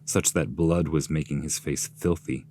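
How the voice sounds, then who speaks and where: A man narrating epic poetry from a script in a measured, solemn manner. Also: a bass voice, fairly even intonation, dark tone. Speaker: translator and narrator.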